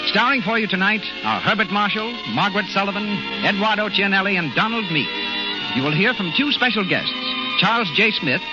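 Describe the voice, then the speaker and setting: Vintage radio announcer, male, high-pitched and swooping, showmanlike, dull 1930s broadcast sound with loud music. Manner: formal, showmanlike.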